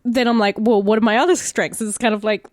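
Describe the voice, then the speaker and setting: A woman's voice: a little high, fairly even intonation, fast talker, no room sound, studio quality. An Australian woman in casual podcast chat, speaking informally and hesitantly as she thinks aloud. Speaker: podcast guest, tabletop player and actor.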